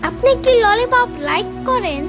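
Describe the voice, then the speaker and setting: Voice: extremely high pitch, expressive, normal speed. A performer voicing a cheeky little cartoon kindergarten boy who talks back, in a childlike way with a Bangladeshi accent. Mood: eager, earnest. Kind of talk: comic cartoon dialogue. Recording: loud music behind the voice.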